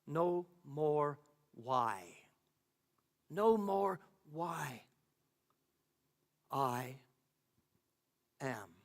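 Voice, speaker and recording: A man voicing a calm, comforting divine spirit, faint and solemn, in a spoken spiritual story. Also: creaky, exaggerated voice. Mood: hushed, soothing. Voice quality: hushed, low, faint. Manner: slow, solemn, measured. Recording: echoey hall with long reverb.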